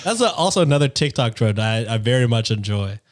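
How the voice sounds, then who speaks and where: A man's voice: medium pitch, sing-song, a little fast, dry recording, clean studio sound. A young man co-hosting a podcast, joking and swearing casually in loose banter. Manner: playful, jokey, swears casually. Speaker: podcast co-host. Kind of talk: casual chat.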